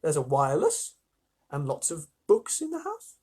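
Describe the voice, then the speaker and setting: A man voicing a prim, sensible elder-sister schoolgirl with a gently scolding manner in a storybook reading. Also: on the high side, huge pitch swings, moderate pace, husky, full character voice. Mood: reassuring.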